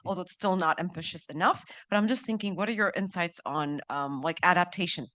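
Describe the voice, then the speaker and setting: A woman's voice: lowish voice, fairly quick, a bit bright, no echo. A woman from Ethiopia asking a question from the audience at a seminar, speaking as a climate delegate.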